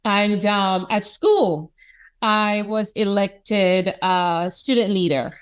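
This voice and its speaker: A woman from Jamaica with a Jamaican accent, an institute president telling childhood stories in an expressive, animated way during an interview. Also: mid-range voice, slow pace, clear, resonant tone. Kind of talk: conversational interview.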